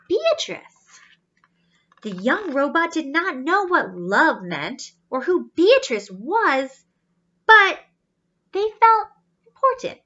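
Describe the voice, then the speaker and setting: Animated, high-voiced woman reading to children. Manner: teacherly, explains pictures, asks questions. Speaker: children's storybook reader. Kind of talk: animated read-aloud storytelling with asides. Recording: slightly dull, lo-fi sound.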